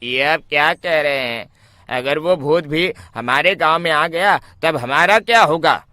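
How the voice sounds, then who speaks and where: Man acting an anxious, piercingly bright young bridegroom. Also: a little high, measured pace, no room sound, studio quality. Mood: alarmed. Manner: exclamatory, nervous. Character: anxious young village bridegroom, cowardly but stubborn.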